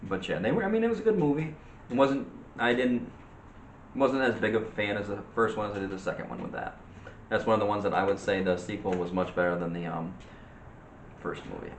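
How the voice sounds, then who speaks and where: A man giving casual movie opinions as a co-host in a halting, rambling way. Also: fairly low pitch. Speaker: co-host of a movie discussion show. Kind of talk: casual chat about movies. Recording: roomy echo with light background noise.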